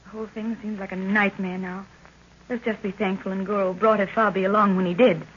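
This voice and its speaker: A woman's voice: mid-range voice, little pitch movement, brisk, lightly acted. An actress playing a tender, concerned young woman, a devoted daughter and sweetheart, in an old radio drama. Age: young adult. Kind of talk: 1930s radio acting. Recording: muffled, faintly noisy 1930s radio recording.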